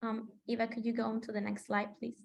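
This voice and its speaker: A sixteen-year-old girl from Peru presenting slides in an online meeting in a measured, explanatory way. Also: a little high, monotone, vocal fry. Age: teenager, sixteen. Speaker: student presenter. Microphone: video call.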